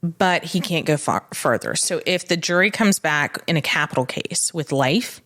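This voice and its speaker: A woman's voice: low voice, animated, normal speed, fairly bright tone. An American woman talking casually as a co-host and legal expert, explaining matter-of-factly step by step with examples.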